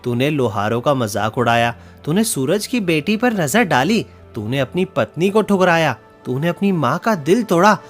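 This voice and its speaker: A man voicing a stern, reproachful magical animal who passes judgement in an animated moral story. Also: fairly high pitch, normal speed, hardly any pauses, faint music behind. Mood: angry, reproachful. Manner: reproachful, solemn. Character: magical crow and deer turned wolf, stern judge.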